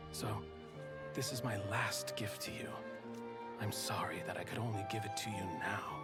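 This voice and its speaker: An actor playing a gentle, resigned, ailing young man in a video game, with a soft, wistful, breathy delivery. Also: fairly low pitch, lightly acted. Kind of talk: dramatic video game voice acting. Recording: music playing behind the voice.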